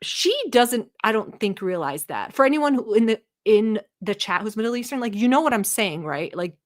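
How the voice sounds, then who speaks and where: A woman's voice: medium pitch, animated, moderate pace. A woman from Turkey hosting a live stream, giving blunt, mocking and profane commentary while talking to her chat. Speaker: livestream host reacting to a video.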